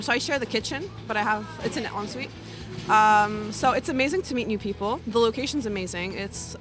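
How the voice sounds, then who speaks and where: Chatty young woman student. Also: mid-range voice. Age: young adult. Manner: chatty, upbeat, lots of filler words. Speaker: university student. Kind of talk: casual street interview answers. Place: outdoors in a city.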